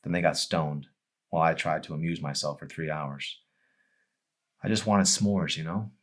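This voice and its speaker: A man's voice: rumbling low, steady tone, normal speed, fairly bright tone. A man voicing a hurt, emotional young girl in an audiobook, with an emphatic, pleading manner. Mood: sad recollection.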